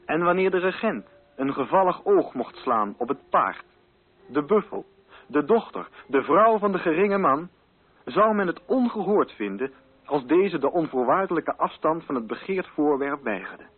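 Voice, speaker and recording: A man reading literary narration for a radio play in a measured, formal and carefully articulated way. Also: fairly high pitch, animated, slightly rough, narrow, tinny audio.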